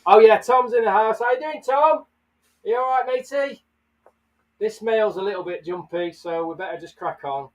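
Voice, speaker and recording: A man's voice: high-pitched, moderate pace, recorded from a distance. A man hosting a casual livestream, chatting and greeting viewers by name. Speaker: livestream host. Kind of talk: casual live chat.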